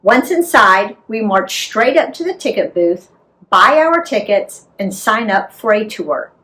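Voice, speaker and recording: An American woman reads a children's travel memoir aloud. Her pitch moves around a lot and she takes her time, shaping the sentences with a deliberate, animated storyteller's delivery. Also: rough recording. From United States. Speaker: author and narrator.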